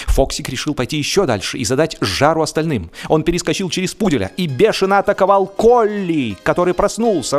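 A man narrating a humorous story in a theatrical, mock-solemn, ironic manner. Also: fairly high pitch. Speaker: audiobook narrator. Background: music.

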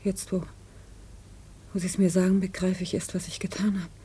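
Contrite witness, soft dark tone, extremely husky, faltering, fifties radio play. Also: female voice, fairly low pitch, level tone, moderate pace. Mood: contrite, subdued. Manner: faltering, hushed. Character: contrite, shaken witness confessing quietly. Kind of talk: 1950s German radio drama acting.

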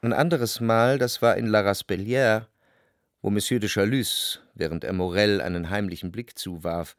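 An actor playing an introspective, jealous lover who narrates his memories in a measured, literary radio drama style. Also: on the low side, fairly even intonation, dry recording, clean studio sound, natural voice. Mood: reflective, brooding. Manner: reflective, measured literary delivery in long sentences.

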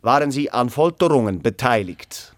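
An actor playing a stern official in a radio drama, questioning formally, curtly and insistently. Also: male voice, mid-range voice, expressive, normal speed, dry recording, lightly acted. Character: stern, probing official interrogating a job applicant.

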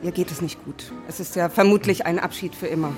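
Actress as composed, melancholy director's wife. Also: female voice, fairly low pitch, fairly even intonation, fast talker, faint music behind, natural voice. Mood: resigned. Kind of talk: German radio drama acting.

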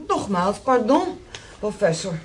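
An actress playing a brisk, dutiful hospital nursing sister in a Dutch radio drama, clipped and deferential. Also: female voice, medium pitch, very animated. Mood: dutiful, flustered. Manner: clipped, dutiful, apologetic to superiors. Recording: noisy old radio play recording.

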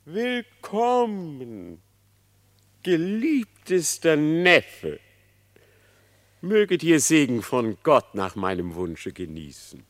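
An actor playing a sly, flattering, treacherous male fox in a verse radio play, with wheedling false courtesy. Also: fairly high pitch, very slow, heavily acted. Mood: falsely friendly. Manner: wheedling, theatrical, feigned courtesy.

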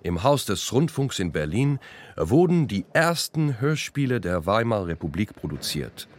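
A man narrating a scripted first-person radio documentary, with deliberate, pointed phrasing. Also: lowish voice, sing-song. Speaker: narrator and author of a radio play.

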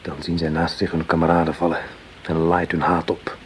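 An actor playing a loyal, protective soldier, a corporal, with an earnest and sombre manner in a radio drama. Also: male voice, low voice, monotone, brisk, lightly acted. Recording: noisy old radio drama recording.

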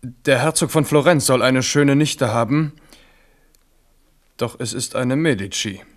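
A man's voice: mid-range voice, a little slow, close to an everyday voice. An actor playing a headstrong, passionate king in a radio drama, with a commanding and impulsive delivery. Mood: confiding.

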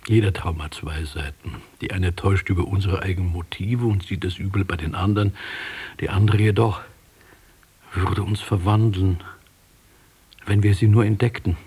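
An actor playing an enigmatic, reflective interlocutor in a German radio drama, speaking poetically in a husky, often near-whispered voice. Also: male voice, low-pitched, fairly even intonation, darkish tone, heavily acted. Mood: grave, admonishing. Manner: measured, philosophical, poetic. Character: enigmatic, reflective male interlocutor, probing and gently admonishing.

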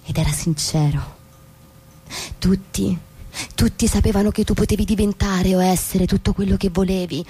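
A woman acts out a frustrated young suburban wife in a radio play. Her voice is soft-edged, mellow and husky. She presses her point intensely, repeating words with fervent insistence. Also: mid-range voice, natural voice. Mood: urging. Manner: intense, insistent, emphatic repetitions. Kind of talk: stage-style radio drama dialogue.

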